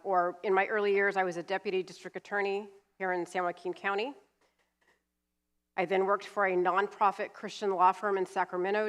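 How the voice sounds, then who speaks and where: A woman in her fifties from California, an attorney giving a seminar talk with a cold, explaining plainly with some fillers. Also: mid-range voice. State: has a cold, sniffly. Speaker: estate planning attorney, guest speaker. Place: church hall. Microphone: handheld mic.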